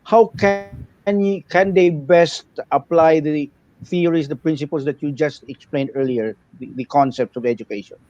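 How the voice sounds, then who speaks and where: A man's voice: on the high side, normal speed, a little mellow, no room sound, faint music behind. A man with a Filipino accent hosting an interview, asking his questions with hesitant restarts. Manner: hesitant, repeats words when asking. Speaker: interviewer host. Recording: dull lo-fi line with faint noise.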